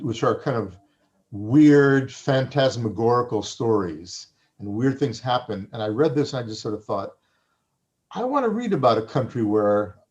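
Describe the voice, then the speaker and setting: A man whose first language was Farsi, talking as an interviewed author. He speaks reflectively and often pauses to restart his sentences. The recording sounds a little dull and lo-fi. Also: mid-range voice, a little mellow.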